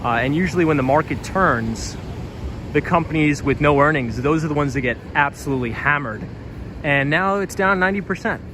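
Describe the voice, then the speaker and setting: A man's voice: on the high side, a little fast, noisy background. Man livestreaming on a night city walk. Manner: friendly, reads out viewer comments. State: voice slightly tired. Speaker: livestream host reading chat. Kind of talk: casual live-stream chat while walking. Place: outdoors on a city street at night, windy. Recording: street stream with clear music behind.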